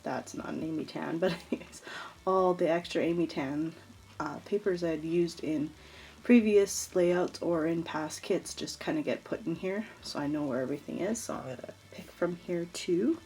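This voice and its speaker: A woman's voice: lowish voice, normal speed, mellow. A woman with a congested, nasal voice chatting casually through a scrapbooking tutorial, rambling with fillers and small laughs. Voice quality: stuffed-up, nasal. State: congested from allergies. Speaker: scrapbooking vlogger. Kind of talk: casual craft tutorial chat.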